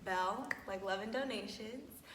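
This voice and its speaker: A woman's voice: medium pitch, measured pace, over a noisy crowd. Woman, 20, panel guest, emphatic, roomy echo. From United States. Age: 20s. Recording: echoey room with some reverb.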